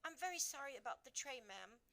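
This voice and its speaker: A woman's voice: sits high, expressive, brisk, vocal fry, sharp tone, voice pushed up, heavily acted. A woman voicing an oldish female maid who speaks deferentially with a quivering voice in a storybook reading. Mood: shaken.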